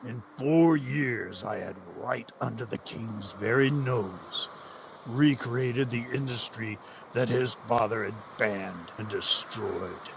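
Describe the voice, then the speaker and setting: An actor playing a proud, cruel slave trader in an audio drama, vengeful and deliberate, with theatrical threats. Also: male voice, mid-range voice, creaky, background noise. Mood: boastful, menacing. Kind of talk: audio drama villain acting.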